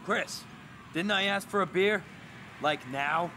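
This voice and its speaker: An actor playing a middle-aged single father in a video game, a tired beer-drinking dad with a gruff, weary voice and a drawling, half-teasing manner that turns snappish. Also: sits high, slow, sharp tone, slight background noise, exaggerated voice. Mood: irritated. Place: living room in a house, game scene.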